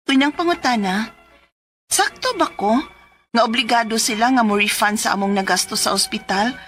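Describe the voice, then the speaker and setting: A woman's voice: on the high side, a bit bright. A woman acting an aggrieved, stubborn young woman in her twenties in a radio drama, with a Cebuano accent and an emphatic, insistent manner. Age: 20s.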